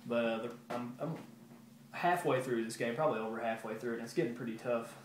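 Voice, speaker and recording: A man's voice: medium pitch, fast. Laid-back man vlogging in an echoey room. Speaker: video game collector vlogger. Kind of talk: casual show-and-tell update to viewers. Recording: roomy echo with background hiss.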